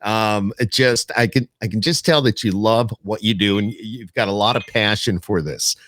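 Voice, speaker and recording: A man's voice: fairly low pitch, normal speed, fairly bright tone, a little hiss or hum. A middle-aged man chatting casually as a podcast host, rambling and teasing as he interviews his guests.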